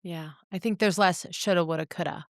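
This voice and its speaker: A woman co-hosting a podcast, talking in a thoughtful, measured, reflective way. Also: fairly low pitch, steady tone, vocal fry, dry recording, studio quality. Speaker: podcast co-host.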